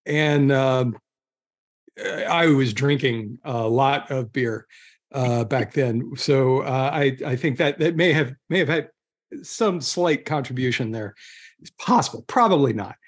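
A man chatting casually as a podcast guest, speaking in a hesitant, halting way. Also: mid-range voice. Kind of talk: casual chat.

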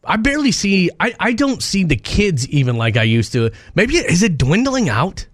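A man's voice: high voice, big pitch swings, moderate pace, no echo. A middle-aged American man co-hosting a radio show in casual chat, agreeable and joking, close on a studio mic. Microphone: close studio microphone.

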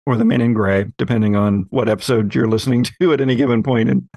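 A man's voice: medium pitch, steady tone, fast talker, vocal fry, dry recording, dull audio. A man chatting casually as a podcast host and game master, wry and given to chuckling. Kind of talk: casual chat.

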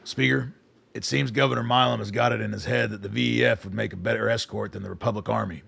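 A weary, stubborn colonel in a radio play. The voice is heavily creaky and rough, with a forceful edge. He talks in blunt, clipped military phrases and sounds used to being obeyed. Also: on the low side, fairly even intonation, quick pace, full character voice. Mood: guarded, courteous. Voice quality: gravelly, raspy. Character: weary, foul-mouthed army colonel, commanding and stubborn. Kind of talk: radio drama acting.

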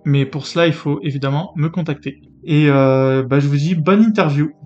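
Guy hosting a podcast, kinda high voice, lots of euh and restarts, muffled lo-fi audio. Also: moderate pace, a little mellow, soft background music. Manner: hesitant, many fillers and restarts. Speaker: podcast host. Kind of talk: casual interview.

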